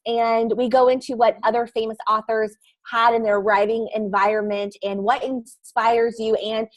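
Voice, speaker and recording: A woman co-hosting a podcast, chatting excitedly in a casual panel and laughing often. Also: on the high side, normal speed, no room sound, low-quality recording. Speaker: podcast co-host.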